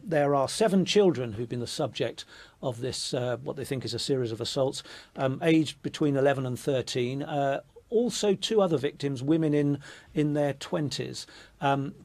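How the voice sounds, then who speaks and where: A man's voice: mid-range voice, normal speed, darkish tone. A man reporting as a crime correspondent in a live news segment, hesitating often with fillers.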